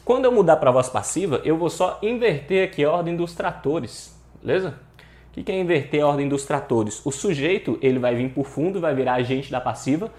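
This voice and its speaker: A man's voice: a little high, lively intonation, a little fast, a little mellow. A Brazilian man teaching an informal grammar lesson, colloquial, often asking questions and answering them himself. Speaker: Portuguese grammar teacher for exam preparation. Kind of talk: informal online lesson, explaining with examples. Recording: echoey room.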